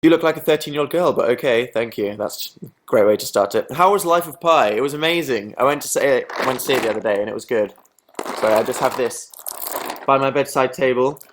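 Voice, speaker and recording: A man's voice: mid-range voice, brisk, a little hiss or hum. Sarcastic young Englishman chatting live. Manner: sarcastic, deadpan self-mocking jokes. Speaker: livestream host. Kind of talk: casual live chat reading viewer comments.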